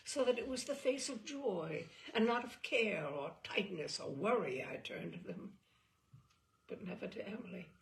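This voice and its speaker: A woman reading a literary short story in the first person, measured and expressive, dwelling on phrases. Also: medium pitch, creaky.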